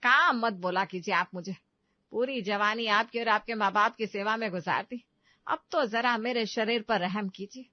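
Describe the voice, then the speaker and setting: A woman's voice: medium pitch, moderate pace, dry recording, muffled recording, close to an everyday voice. A woman playing a proud, stubborn middle-aged mother-in-law who complains emphatically in a comic family drama. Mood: aggrieved. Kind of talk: Hindi comedy story dramatization.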